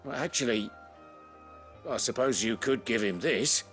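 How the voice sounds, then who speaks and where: A man's voice: mid-range voice, measured pace, fairly bright tone, close to an everyday voice. A man playing a humble, talkative leather craftsman in a video game, wry and self-deprecating. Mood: grateful, obliging. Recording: game music under the voice.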